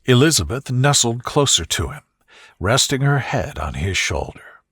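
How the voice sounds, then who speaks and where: A man narrating a scripted sleep story in a calm, gentle, soft-spoken and soothing way. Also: mid-range voice, normal speed, slightly rough, no room sound, studio quality.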